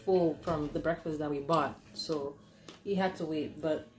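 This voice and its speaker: A young adult woman telling stories casually and conversationally, animated and giggly, acting out what people said. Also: low voice, unhurried, a little hiss or hum. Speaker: podcast host telling stories. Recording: echoey, roomy sound.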